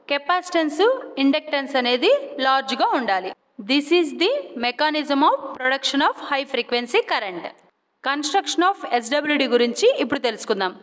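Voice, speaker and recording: A woman with a Telugu Indian accent giving a scripted educational lecture as an instructor, deliberate and point by point, mixing in English technical terms. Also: sits high. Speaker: physiotherapy instructor. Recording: long hall-like reverb.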